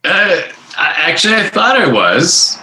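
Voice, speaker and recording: A man from the United States tells his story on a call, his voice bright and forceful. He restarts his sentences often, and the sound bounces around an echoey room. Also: high-pitched, big pitch swings, a little slow, a little hiss or hum. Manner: storytelling, hesitant restarts, warm laughs. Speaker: guest, life coach and author. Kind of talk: casual interview. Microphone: remote call microphone.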